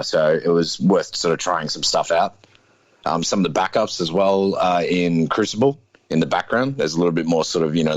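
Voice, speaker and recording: A man in his thirties with a deep voice, a guest musician talking casually in an interview, laid-back and hedging with lots of fillers. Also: no echo. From Australia. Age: 30s.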